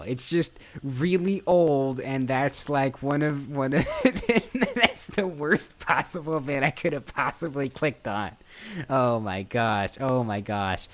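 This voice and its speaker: A man's voice: mid-range voice, a bit bright. An eighteen-year-old man chatting casually on a live stream, rambling, repeating himself and breaking off mid-sentence. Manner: rambling, repeats phrases, self-interrupting. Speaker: streamer and voice actor.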